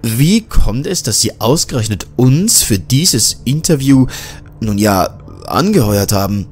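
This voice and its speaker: A man voicing a level-headed male journalist who talks in a calm, polite, reassuring way. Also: mid-range voice, a little slow, slight background noise, natural voice.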